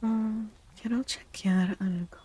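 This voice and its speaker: A woman speaking in a soft ASMR style as a streamer doing a tarot reading, hushed and often slipping into whispers. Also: on the high side, fairly even intonation, slow pace.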